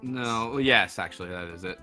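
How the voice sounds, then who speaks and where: A woman co-hosting a live stream in casual, excitable group banter, swearing and exclaiming freely. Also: rumbling low, a little fast, faint music behind. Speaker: stream co-host.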